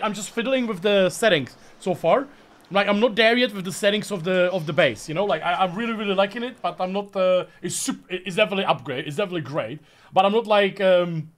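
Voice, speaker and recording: High-voiced man streaming. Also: a bit bright. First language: Czech. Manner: rambling, chatty, lots of fillers. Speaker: sim racing streamer. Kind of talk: live stream chat while driving.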